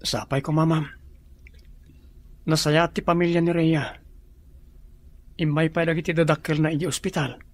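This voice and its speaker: A man's voice: on the high side, level tone, moderate pace, long pauses, natural voice. A man with an Ilocano accent playing an earnest, respectful young villager in a drama.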